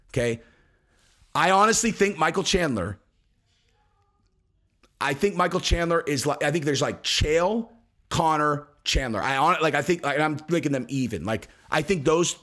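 A man's voice: mid-range voice, fairly bright tone. A man in his forties hosting a solo fight commentary show, talking intensely and emphatically at a desk microphone, full of profanity, fillers and restarts. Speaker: MMA commentary show host. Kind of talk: heated solo commentary to camera. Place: home studio with screens of fight photos behind. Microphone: desk podcast mic in front.

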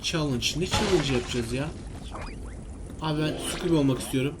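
Male gaming host, high voice, chatty and full of exclamations and questions to himself, faint noise behind. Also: fairly quick. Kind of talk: casual let's-play commentary to viewers.

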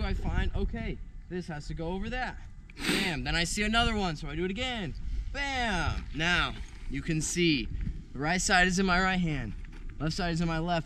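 A young man teaching paramotor hookup outdoors in an open field, energetic and punctuating steps with punchy exclamations. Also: high-pitched, background noise. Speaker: paramotor instructor. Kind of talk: hands-on outdoor demonstration.

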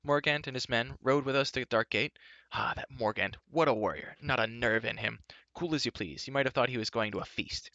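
A man voicing an excitable, boastful male bard who talks effusively. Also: mid-range voice, a little fast, dry recording, muffled recording. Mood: excited.

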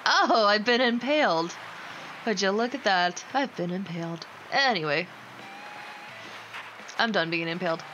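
A woman giving casual, expressive commentary as she streams a video game, gushing and talking in slangy, sweary language. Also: medium pitch, unhurried, long pauses, dull audio, slight background noise. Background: game music.

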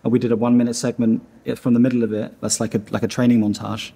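Man, thirties, from Iceland, warm mellow tone, a little husky, rambling with fillers, seated interview in a dim studio. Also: mid-range voice, flat delivery, rapid-fire. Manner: rambling, heavy on fillers and restarts. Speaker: animation studio founder.

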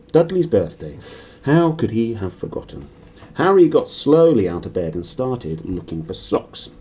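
A British man in his thirties reading a storybook aloud briskly and expressively to a camera in a small room. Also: lowish voice, dark tone, breathy edge. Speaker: reader. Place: small decorated room indoors.

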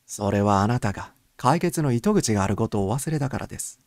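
Man playing a methodical gentleman amateur detective. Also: lowish voice, expressive, fast, no room sound, studio quality, natural voice. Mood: reasoning, confident. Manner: polite, explanatory, orderly.

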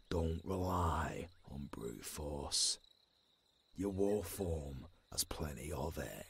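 A man with a British accent playing a tough old werewolf mentor in an audio roleplay, gruff but caring, with a growling, raspy voice and a commanding manner. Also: very deep voice, a bit bright, slight background noise, heavily acted. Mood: gruff instructing. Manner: slow, deliberate, commanding.

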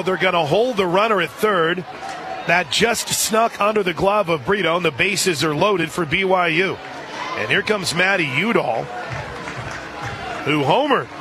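High-pitched man calling softball on radio. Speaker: radio play-by-play announcer. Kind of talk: live softball radio commentary. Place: outdoor softball stadium broadcast. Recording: crowd and music behind. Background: stadium crowd, music.

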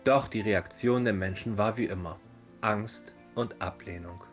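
A man's voice: lowish voice, unhurried. A man narrating a fairy tale as a storyteller in a dramatized reading. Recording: music behind the voice. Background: background music.

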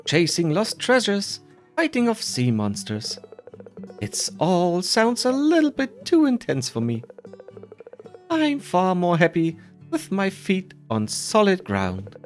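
A woman plays a friendly, slightly timid villager from a cozy game. The voice is on the low side, chatty and polite with a lively lilt, and music plays softly behind. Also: slow pace.

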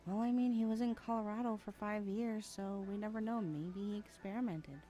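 A woman's voice: medium pitch, fairly even intonation, a little fast, mellow, faint music behind, natural voice. A woman playing a sassy, blunt twenty-one-year-old best friend in a casual, slangy audio roleplay, often sighing. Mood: frank, supportive. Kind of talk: conversational ASMR roleplay acting.

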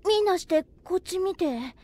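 A woman playing a plucky, warm-hearted girl with a Kansai accent in an anime audio drama. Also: extremely high pitch, animated, moderate pace, no echo. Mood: flustered.

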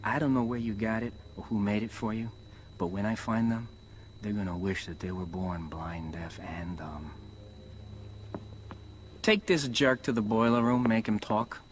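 An actor playing a corrupt, contemptuous police lieutenant in a crime game, with a sneering, threatening manner. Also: male voice, fairly low pitch, normal speed, a little hiss or hum, lightly acted.

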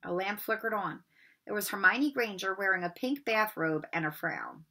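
Middle-aged woman, teacher at home, bright forceful voice, mid pitch, read-aloud delivery. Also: very animated, moderate pace. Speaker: teacher reading aloud for distance learning. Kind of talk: storybook read-aloud and casual talk to pupils. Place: bedroom at home. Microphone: laptop or webcam microphone at desk distance.

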